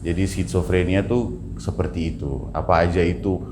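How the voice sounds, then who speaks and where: Deep-voiced man, casual storyteller. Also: fairly even intonation, normal speed, background noise. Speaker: interview guest. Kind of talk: casual personal account.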